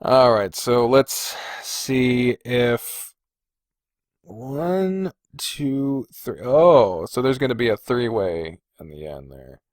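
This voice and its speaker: A man talks unhurriedly as a solo podcast host, close and dry with no room sound. He deliberates out loud, hesitant and casual, and swears freely as he goes. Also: medium pitch, animated, dry recording. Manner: hesitant and deliberating, sighing, profane. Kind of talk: casual solo podcast commentary, thinking aloud.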